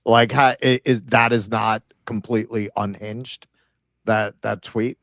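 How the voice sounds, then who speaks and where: A man co-hosting a political show, giving casual, sardonic commentary with halting, repeated starts. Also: lowish voice, steady tone, a bit bright, dry recording, muffled recording. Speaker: political talk show co-host.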